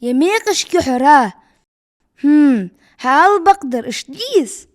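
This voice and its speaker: A woman voicing a cheerful young girl in a dubbed cartoon, with a bright, giggly manner. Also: high voice, very animated, takes it slowly, exaggerated voice.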